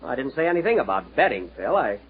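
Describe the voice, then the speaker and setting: A man's voice: mid-range voice, sing-song, fast, breathy edge. A man hosting a 1930s radio comedy show, trading put-upon, indignant banter with his cast. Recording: tinny old broadcast with loud audience laughter and hiss.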